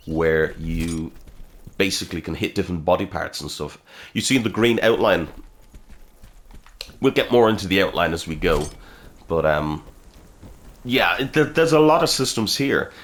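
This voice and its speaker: A man's voice: low-pitched, fairly bright tone. A man with a slight cold giving chatty, casual gameplay commentary, swearing and reacting aloud as he fights. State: nursing a cold, a bit sniffly. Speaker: gaming video host.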